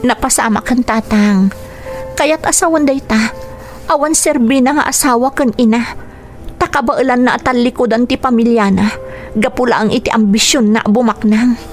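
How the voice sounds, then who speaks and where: A woman's voice: on the high side, moderate pace, faint music behind, close to an everyday voice. A woman acting a bitter, resolute young eldest daughter in a Filipino radio drama, emotional and earnest, with a Filipino accent. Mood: bitter, resentful.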